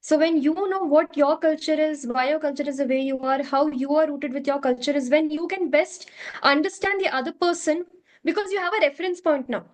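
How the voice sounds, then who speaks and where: A woman's voice: sits high, a little fast. A woman from South India with an Indian accent, a native Hindi speaker, answering interview questions earnestly and often restating her points. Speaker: curriculum developer, interview guest.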